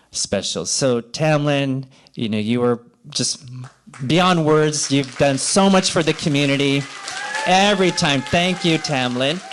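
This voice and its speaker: A man in his sixties speaks as the organizer of an awards ceremony. His remarks are informal thanks, delivered haltingly. He often repeats himself and rephrases mid-sentence. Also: fairly high pitch.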